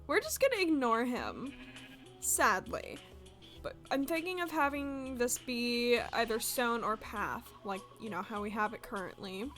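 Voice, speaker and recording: Congested young woman chatting over game music. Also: on the high side. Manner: chatty, rambling, excitable. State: congested from allergies. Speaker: gaming video creator. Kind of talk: casual gameplay commentary. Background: soft game music.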